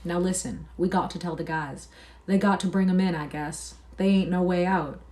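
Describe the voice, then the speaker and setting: A woman's voice: on the low side, fairly even intonation, moderate pace, darkish tone, lightly acted. A woman reading aloud and voicing a weary, loyal male ranch worker in plain rural speech.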